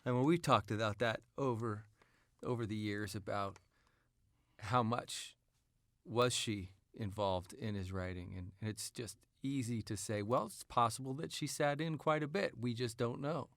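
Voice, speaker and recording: A man's voice: mid-range voice, unhurried, dry recording, studio quality. A man in a casual podcast discussion, talking in informal, loosely rambling phrases.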